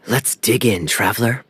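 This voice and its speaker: An actor playing a charming, playful young adventurer in a video game cutscene, with a smooth, easy delivery. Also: male voice, lowish voice, sharp tone, a little hiss or hum. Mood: gracious. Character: suave, teasing young adult male adventurer. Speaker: game character.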